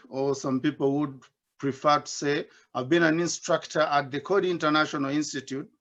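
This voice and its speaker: A middle-aged man with a slightly raised voice tells his story with warm, self-mocking humor. He speaks from his basement over a video call, and the audio comes through dull and muffled. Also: on the high side. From Uganda. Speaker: invited speaker, doctoral student. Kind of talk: personal reflective talk at an online symposium. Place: home basement.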